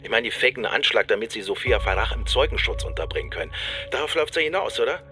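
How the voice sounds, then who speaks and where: A man's voice: mid-range voice, sing-song, racing, pushed and bright, faint music behind. A man playing an embittered, suspicious police detective in a naturalistic radio crime drama, blunt, colloquial and sardonic. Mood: bitter, incredulous.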